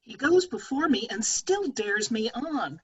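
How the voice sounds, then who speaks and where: Muffled call audio carries a woman acting a proud young lover in Shakespeare. The voice is husky, the verse defiant yet weary. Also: on the high side, animated, measured pace, a little mellow, exaggerated voice. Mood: weary, frustrated. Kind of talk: Shakespeare table read in verse. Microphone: video call.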